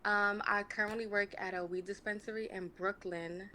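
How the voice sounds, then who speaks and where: Bright-voiced young woman on a call. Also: medium pitch, fairly even intonation, normal speed. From New York, USA. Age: 20s. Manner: hesitant, many "um"s. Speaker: dating show caller. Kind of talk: answering interview questions. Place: bedroom.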